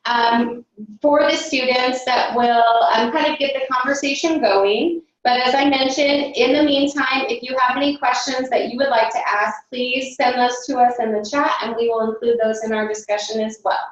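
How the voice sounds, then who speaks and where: A woman's voice: high voice, fairly even intonation, moderate pace. A woman on admissions staff hosting a webinar, talking in a friendly way with many fillers as she guides attendees. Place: office or meeting room, off camera. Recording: echoey room, far from mic, dull sound.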